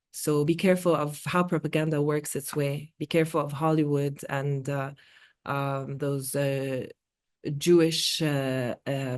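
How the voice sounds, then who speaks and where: Mellow low-voiced woman, native Arabic speaker. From born in Oman, Palestinian. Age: 40s. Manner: earnest, measured, with frequent pauses and fillers. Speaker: guest speaker, multimedia artist. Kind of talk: reflective interview talk.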